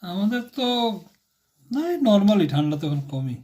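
A man's voice: high-pitched, very animated, moderate pace, warm tone. A young man, chatting offhandedly as a grown son on a family phone call. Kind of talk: casual family phone chat.

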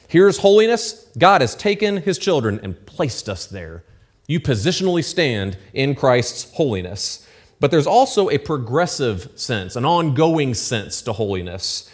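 Pastor preaching conversationally from a pulpit. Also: male voice, medium pitch. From United States. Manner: conversational preaching with asides and checks for understanding. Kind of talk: sermon. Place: church stage with pulpit, indoors. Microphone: lectern mic at pulpit.

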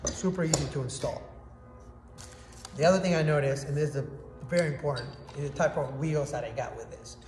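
A man's voice: on the high side, fairly quick, darkish tone, faint music behind. A man in his thirties giving an upbeat, casual product review to a phone camera in a workshop. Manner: upbeat, enthusiastic, demonstrating with hands. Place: indoor workshop with hard walls. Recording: echoey room, faint background noise.

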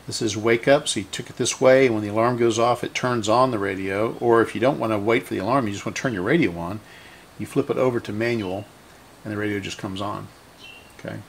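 Low, gravelly man thinking aloud. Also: steady tone, slight background noise. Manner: halting, thinking aloud while working. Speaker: repair hobbyist host. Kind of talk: casual unscripted workbench explanation. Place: indoor cluttered electronics workbench.

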